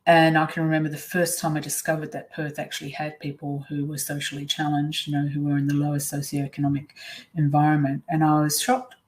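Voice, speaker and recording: A middle-aged woman, whose was Swiss German, telling her own story in a webinar, candid and reflective with many pauses and ums. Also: sits low, completely flat delivery, a little mellow. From Australia. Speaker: webinar presenter.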